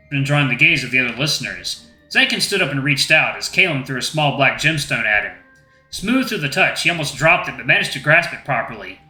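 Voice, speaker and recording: A man's voice: mid-range voice, bright, forceful tone. A man hosting a gaming channel, reading a visual novel aloud with casual asides to viewers. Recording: echoey room, faint music.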